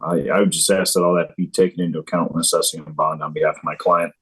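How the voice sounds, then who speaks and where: A man working as a defense attorney, speaking in brisk, formal courtroom phrasing. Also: sits low, flat delivery, slightly rough.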